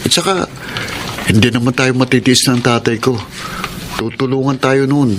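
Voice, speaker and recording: A man's voice: mid-range voice, steady tone, moderate pace, natural voice. An actor playing an impatient, coaxing young suitor in his twenties in a Filipino radio drama. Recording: noisy radio drama broadcast.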